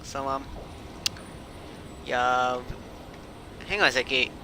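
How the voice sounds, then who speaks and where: Slow halting man, very noisy windy mic. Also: steady tone. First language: not English. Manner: halting, broken English. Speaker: game live-streamer. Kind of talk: casual live-stream gameplay chat. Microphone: uncovered microphone without windscreen. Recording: windy blown mic, loud rumbling noise.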